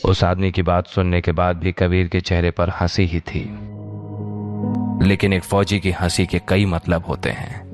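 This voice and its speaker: Deep-voiced man narrating a drama over music. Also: flat delivery, measured pace. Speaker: audio story narrator. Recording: music behind the voice. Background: background music.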